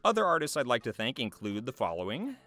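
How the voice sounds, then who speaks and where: A man's voice: medium pitch, huge pitch swings, quick pace, bright, forceful tone. A young adult man, a voice actor, speaking casually and earnestly to viewers in a slightly hesitant way. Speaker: voice actor and video creator. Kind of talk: casual thank-you address to camera, loosely scripted. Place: small foam-treated recording booth. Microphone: large studio microphone close by. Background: music.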